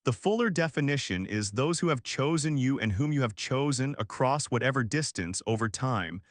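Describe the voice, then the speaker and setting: A careful, formal male alien envoy, voiced by a man, speaks in exact, weighed sentences. The delivery stays lively in pitch. It sounds close and dry, with no room around it. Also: no room sound, lightly acted. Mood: earnest. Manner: precise, formal, measured speech. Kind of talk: scripted audiobook narration.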